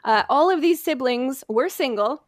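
A woman's voice: high-pitched, expressive, moderate pace, no echo, studio quality. An American woman hosting a conversational history story, telling it from notes.

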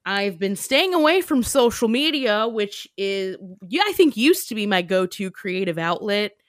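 A woman's voice: medium pitch, big pitch swings, a little slow, no echo. Chatty, dry-voiced woman podcast host. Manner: chatty, self-deprecating, laughing often. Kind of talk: casual chat.

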